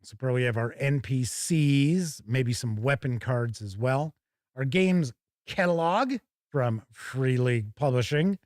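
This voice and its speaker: A man's voice: mid-range voice, measured pace, darkish tone, no room sound, studio quality. A man hosting a tabletop gaming show, chatting casually and thinking aloud as he gives live first-look commentary.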